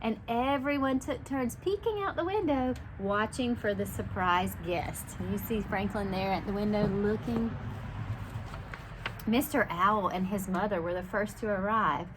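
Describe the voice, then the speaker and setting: Lady teacher reading a picture book to little kids, animated, talks right to them, some noise behind her. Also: medium pitch, a little slow. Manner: animated, explains and questions young listeners directly. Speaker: preschool teacher reading aloud. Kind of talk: children's picture book read-aloud with asides.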